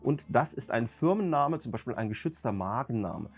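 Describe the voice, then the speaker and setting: A man with a cold, hoarse and raspy, ranting emphatically as a YouTube host talking casually to his viewers. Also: mid-range voice, expressive, normal speed, faint music behind. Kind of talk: casual vlog talk to viewers.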